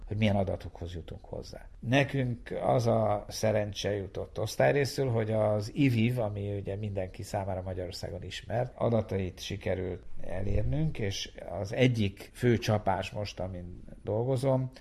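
A man speaking as a researcher in an explanatory interview, in a measured way, searching for his words. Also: lowish voice, creaky, darkish tone, slightly rough. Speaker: network science researcher.

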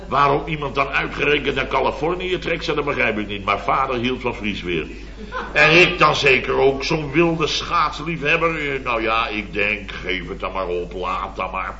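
An actor playing an exasperated, blustering boss in an old radio comedy, telling indignant tales with mimicked quotes. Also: male voice, mid-range voice, bright, forceful tone, exaggerated voice. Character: blustering, exasperated male boss of a building firm. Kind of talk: 1970s Dutch radio comedy acting. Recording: muffled old radio recording, roomy, studio audience.

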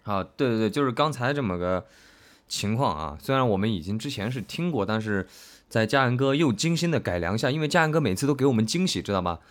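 A man's voice: medium pitch, animated, quick pace. A man hosting a casual reaction chat, commenting and joking as he listens. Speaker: host reacting to audio drama clips.

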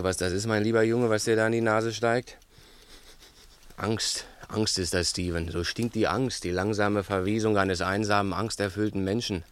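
An actor playing a brooding, taunting, talkative crook in a 1960s German radio play, musing theatrically and condescendingly. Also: male voice, fairly low pitch, a bit bright, clear, resonant tone.

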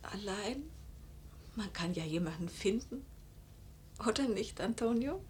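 A woman's voice: medium pitch, expressive, measured pace, darkish tone, a little hiss or hum, close to an everyday voice. An actress playing a middle-aged mother who tells her life story in a restrained, wistful, intimate way in a radio drama. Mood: probing, hopeful. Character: weary, hopeful Italian mother narrating her life's disappointments. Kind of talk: radio drama first-person narration and dialogue.

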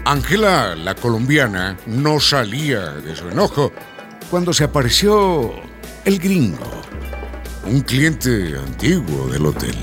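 Male radio drama narrator: mid-range voice, very expressive storytelling delivery. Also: medium pitch, slow, over music.

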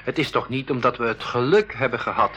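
An actor playing a cautious, sceptical brother on an expedition in a school radio drama. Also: male voice, mid-range voice, quick pace, fairly bright tone, soft background music, close to an everyday voice. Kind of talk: 1980s Dutch-language school radio drama acting.

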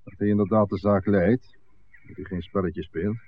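Casting: male actor as bossy, wary gang leader, lowish voice, vintage radio play on muffled phone-like audio. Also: fairly even intonation, normal speed, a little hiss or hum, lightly acted. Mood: wary, curt. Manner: curt, bossy. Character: cold, commanding male crime boss, wary and menacing. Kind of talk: 1960s radio drama acting.

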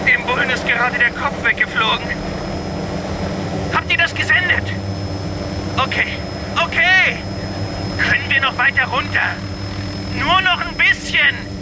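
Frantic high-pitched TV news reporter. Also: male voice, slow pace, pauses often, very noisy, exaggerated voice. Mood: frantic, urgent. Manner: excitable, barking orders, swearing. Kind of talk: German radio drama acting. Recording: loud aircraft drone and music behind.

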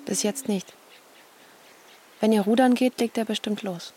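A woman's voice: mid-range voice, a little slow, dark tone, husky. An actress playing a guarded, reproachful mother in naturalistic radio drama dialogue.

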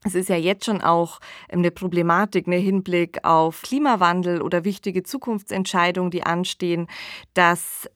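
A woman podcast host with a slightly low voice discusses things in a conversational way. The sound is very dry and close, without room reflections. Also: steady tone, normal speed, no room sound.

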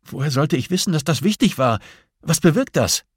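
Male reader as anxious female investigator in an audiobook thriller: raised pitch, husky, hesitant, agitated, searching for details. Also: very animated, fairly quick, no echo, clean studio sound. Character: anxious female police investigator, flustered under pressure. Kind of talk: audiobook dialogue acting.

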